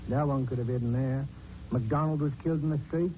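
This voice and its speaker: An actor playing a plain-spoken, dutiful police sergeant in a 1940s radio drama, deferential and matter-of-fact. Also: male voice, mid-range voice, fairly quick, close to an everyday voice. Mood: earnest, respectful. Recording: dull, noisy 1940s radio recording.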